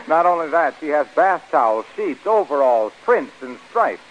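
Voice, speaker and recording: A man's voice: fairly high pitch, animated, a little slow, muffled recording. An American man reading a 1940s radio commercial in a folksy, salesmanlike way on a vintage broadcast. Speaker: radio announcer and pitchman.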